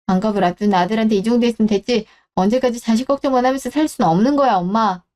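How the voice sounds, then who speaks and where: A woman's voice: fairly high pitch, very fast, darkish tone, close to an everyday voice. A woman playing a blunt, exasperated middle-aged daughter who nags and complains in a radio drama. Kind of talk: Korean radio-drama story acting.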